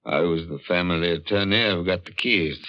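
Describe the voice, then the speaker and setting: An actor playing an uneasy, haunted New York attorney in a 1940s radio drama, speaking in a formal, brooding, clipped way. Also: male voice, deep voice, normal speed, natural voice. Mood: brooding, agitated. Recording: dull vintage 1940s radio recording.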